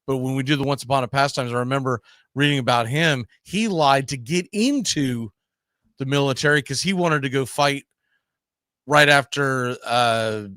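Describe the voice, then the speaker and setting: A man's voice: mid-range voice, moderate pace, pushed and bright, no room sound. A man hosting a live baseball history show, chatting casually and often repeating and rephrasing as he goes. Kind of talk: casual sports chat with co-host.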